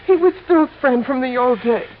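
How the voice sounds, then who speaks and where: A woman's voice: high-pitched, animated, moderate pace. An American actress playing a brash, edgy gangster's girlfriend in a 1950s radio crime drama, slangy, impatient and nervy. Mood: frightened pleading. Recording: noisy, muffled old radio recording.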